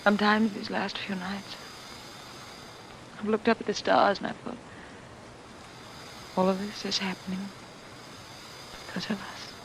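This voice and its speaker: Fearful runaway wife, noisy old radio. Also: female voice, medium pitch, flat delivery, a little slow, gravelly, pauses often. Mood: weary, brooding. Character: fearful, guilt-stricken runaway wife, weary and fatalistic. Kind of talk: old-time radio drama acting. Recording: noisy vintage radio drama broadcast.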